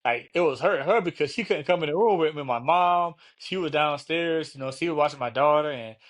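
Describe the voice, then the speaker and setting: A man hosting a casual interview show, talking in a relaxed, easygoing way and often dropping "man" and "you know what I'm saying". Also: a little high, a little fast. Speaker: podcast host.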